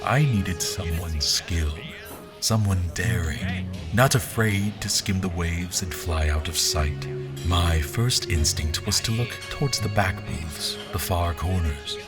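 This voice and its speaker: A brooding, wary general acted by a man narrates in a very deep voice over prominent music. The delivery is scripted fantasy storytelling, first person, literary and wry. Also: takes it slowly.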